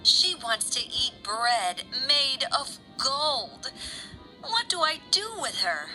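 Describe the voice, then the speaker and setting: Woman playing a worried, sensible storybook mother, higher-pitched. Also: very animated, slow, bright, forceful tone, faint music behind, heavily acted, rough recording. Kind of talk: children's animated storybook acting.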